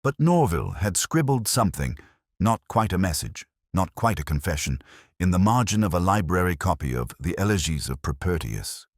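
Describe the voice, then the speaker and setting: A man narrating a first-person detective mystery in a measured, reflective manner, close to the microphone. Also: low-pitched, sing-song, no echo.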